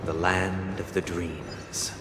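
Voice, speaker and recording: A slow, fairly low-voiced male narrator tells a mythic game tale with solemn grandeur. His formal delivery rings through a long hall reverb over music. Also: level tone, heavy background noise. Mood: grave.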